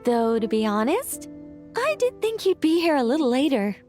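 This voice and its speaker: A composed, hospitable witch with a high voice. She speaks in a polite, precise, slightly prim way, as in a video game, over faint music. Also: very animated, normal speed, darkish tone. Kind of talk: video game cutscene acting.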